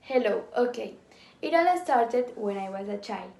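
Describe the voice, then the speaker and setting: A woman interviewed as a volleyball player, giving prepared answers in a steady, measured way with a few hesitations. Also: a little high, gravelly, slight background noise. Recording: echoey room, mic at a distance.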